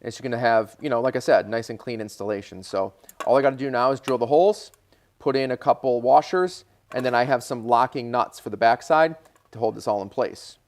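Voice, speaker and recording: A man's voice: medium pitch, fairly quick, a little hiss or hum. An American man hosting a DIY car video, narrating a how-to casually and informally, thinking aloud as he works. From Michigan, United States.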